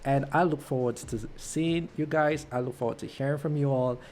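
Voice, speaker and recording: A man's voice: medium pitch, moderate pace, darkish tone, slight background noise. A man hosting a podcast in casual interview talk, encouraging and effusive as he thanks his guest and addresses listeners. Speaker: podcast host.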